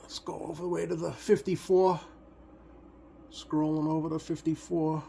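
Halting man thinking aloud, noisy room. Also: on the high side, little pitch movement, vocal fry, dark tone. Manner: halting, thinking aloud while working with hands. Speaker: radio repair hobbyist, video host. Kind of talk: casual unscripted demonstration talk to viewers. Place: indoor home electronics workbench.

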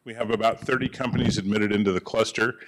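A man in the audience of a panel, speaking on a handheld mic in a conference room, making a joking, animated comment. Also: lowish voice, fast, poor audio. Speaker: audience member, board member of an energy group.

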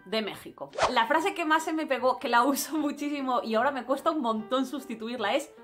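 A young woman from Spain with a Spanish accent chats to the camera in a small indoor room. She talks in an animated, expressive way, with a fairly high voice, and faint music plays underneath. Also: fairly quick. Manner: animated, expressive, gestures a lot. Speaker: travel vlogger. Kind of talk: casual vlog monologue.

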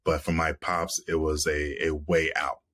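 A man's voice: a bass voice, monotone, unhurried, a bit bright. A man in his thirties, an actor guest on a video call, speaking earnestly and reflectively with frequent restarts. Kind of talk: reflective interview talk. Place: room at home, video call.

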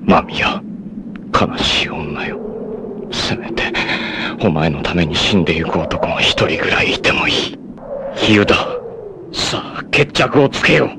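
A man voicing a proud young warrior in an anime, making solemn, defiant declarations in a low, intense voice with sudden high battle shouts. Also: very animated, unhurried, vocal fry, pauses often, full character voice. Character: proud long-haired young male warrior, grim and devoted. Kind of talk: 1980s anime dub acting. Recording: noisy old TV soundtrack.

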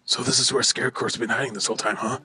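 A man's voice: mid-range voice, steady tone, rapid-fire, over music, natural voice. A man voicing a flustered, blustering police commissioner in an amateur comedy game dub. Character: flustered male police commissioner fixated on Barbara's wheelchair. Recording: clear background noise.

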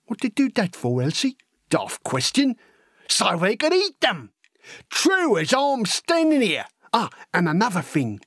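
A man voicing an eager, gullible teenage would-be soldier in a children's audiobook, talking excitedly and earnestly in colloquial speech. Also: sits high, dry recording, clean studio sound, pitched above the performer's own voice, exaggerated voice. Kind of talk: acted children's audiobook monologue.

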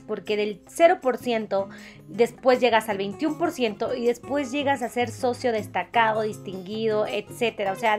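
A woman with a Mexican accent giving a casual explainer voice-over, enthusiastic and chatty, speaking straight to the listener. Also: on the high side. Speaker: network marketing recruiter and presenter. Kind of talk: casual explainer voice-over for drawn whiteboard video.

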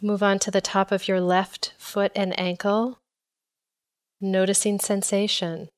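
A woman leading a guided body scan meditation in a calm, measured, instructional way. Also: medium pitch, smooth.

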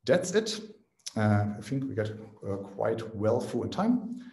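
A man's voice: lowish voice, big pitch swings, slow, creaky, a little mellow, slight background noise. A man in his forties speaking at a remote conference Q&A. He explains in a casual, technical way, often adds "right?", and restarts his sentences, talking into a headset at home. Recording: echoey room.

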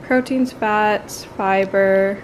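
A young woman with a mid-pitched voice chats casually as she vlogs. She rambles informally and thinks out loud as she goes. The camera audio carries clear ambient noise behind her. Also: steady tone, extremely slow, low-quality recording. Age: 20s. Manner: informal, meandering, thinking aloud. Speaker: lifestyle vlogger. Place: home apartment kitchen, sometimes street. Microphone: handheld or propped camera.

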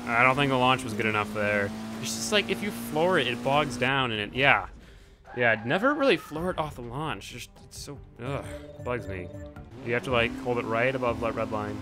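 Animated young man, easily riled gamer. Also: mid-range voice, moderate pace. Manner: frustrated outbursts, swearing, shouting "why" at the game. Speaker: gaming channel host. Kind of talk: casual gameplay commentary. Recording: game audio and music under voice. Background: car engine sounds and music.

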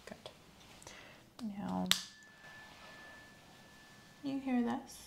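Soft-spoken, creaky-voiced woman doing ASMR. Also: a little high, heavy background noise. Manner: soft-spoken, measured, gently instructing. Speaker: ASMR host playing a medical examiner. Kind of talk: ASMR clinical exam roleplay. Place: small indoor room set up as an exam room.

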